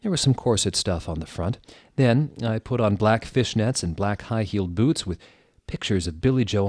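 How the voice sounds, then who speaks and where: A man's voice: low voice, a little mellow, dry recording, studio quality. A man doing a mock-dramatic comedic reading of fan fiction, theatrical and sardonic, breaking off for asides. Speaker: reader.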